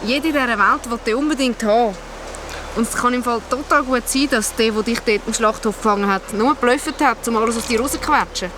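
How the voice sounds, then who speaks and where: Children's radio play guide woman acting, Swiss German, young, high voice, practical and reassuring, noisy background. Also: fairly quick, lightly acted. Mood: firm, calming. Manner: firm, explanatory, practical. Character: Sina, level-headed, reassuring young guide and companion.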